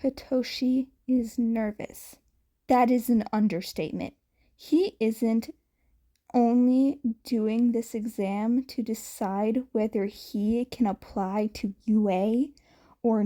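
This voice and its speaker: A woman narrating a scripted story in a measured way, with the occasional stumble over a word. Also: a little high, mellow. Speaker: fan fiction reader.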